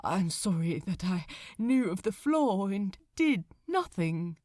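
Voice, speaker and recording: Woman voicing a quiet, broken gentleman shipbuilder. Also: very animated, no echo, clean studio sound. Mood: grieving, apologetic. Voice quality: trembling, broken, low. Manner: quiet, halting, formal. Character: Thomas Andrews, grief-broken, courteous gentleman shipbuilder resigned to death.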